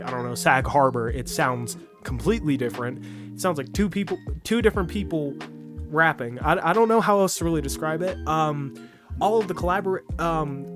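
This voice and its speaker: A man reviewing an album in a casual, rambling way with lots of fillers, sounding a bit sick. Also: fairly high pitch. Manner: rambling with many fillers and restarts. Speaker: music reviewer. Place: bedroom. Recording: music playing behind.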